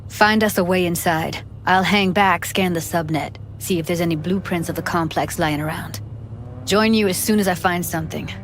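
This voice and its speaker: A woman's voice: fairly low pitch, normal speed, soft background music, lightly acted. An actress playing a tough, protective young woman tech expert in a video game, with a blunt, urgent manner. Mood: brisk, focused. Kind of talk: naturalistic video game acting.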